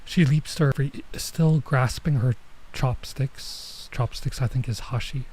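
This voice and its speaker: A man streaming a visual novel, reading it aloud with casual commentary and thinking out loud as he goes. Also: medium pitch, measured pace, slightly rough. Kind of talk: let's play read-aloud with casual commentary.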